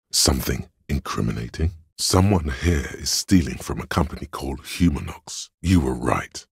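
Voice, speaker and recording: A man playing the cool, analytical inner voice of logic in a video game, speaking in a measured, dryly superior way with a gravelly, husky tone. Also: extremely low pitch, dry recording, studio quality, full character voice. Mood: probing, deductive. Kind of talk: dramatic video game narration.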